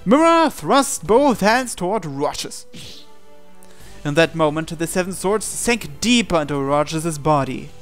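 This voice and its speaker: Male let's player, slightly high voice, dramatic visual novel narration with offhand asides, music underneath. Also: big pitch swings, a little slow. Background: game music.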